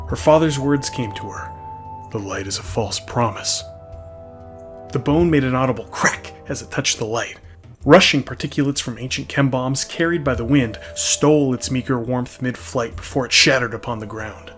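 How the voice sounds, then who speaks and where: A man's voice: mid-range voice, fairly bright tone. A man reading a scripted story expressively, like an audiobook narrator. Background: faint music.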